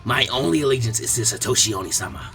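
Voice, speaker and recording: A man's voice: medium pitch, animated, very fast, gravelly, pushed and bright, pitched below the performer's own voice. Guy doing a sneering rogue ninja bad guy for a story, defiant and scornful, music behind. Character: taunting, defiant outlaw ninja villain.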